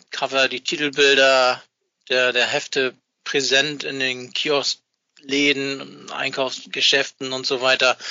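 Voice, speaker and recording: A middle-aged man with a very bright, forward voice talks casually in an interview. He hesitates often as he gathers his words. The sound is dry and slightly dull. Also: medium pitch, no echo. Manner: halting, hesitant, searching for words. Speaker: interview guest, fan magazine maker.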